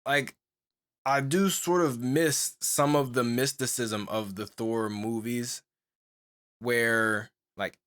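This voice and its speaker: A man's voice: medium pitch, fairly bright tone, clean tone. A young man co-hosting a podcast, talking in a loose, rambling way with lots of fillers and restarts. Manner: rambling, full of "like" and restarts, laughs often. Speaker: podcast co-host. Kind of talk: casual chat.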